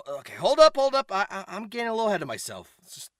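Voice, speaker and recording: A man hosting scripted comedic commentary in an irreverent, theatrical, sweary way with shouted emphasis. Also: high voice, wildly swooping pitch, fast, no room sound, clean studio sound, faint music behind. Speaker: host and narrator of a comedic manga video.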